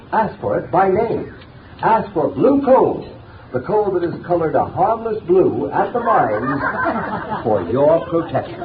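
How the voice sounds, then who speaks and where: A man announcing and narrating in an emphatic, booming 1930s radio style. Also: a little hiss or hum. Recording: tinny 1930s radio broadcast with music.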